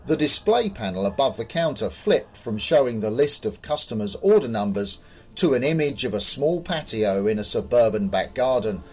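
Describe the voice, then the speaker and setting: A man's voice: mid-range voice, very animated, normal speed, husky. A man narrating his own short horror story as a scripted reading.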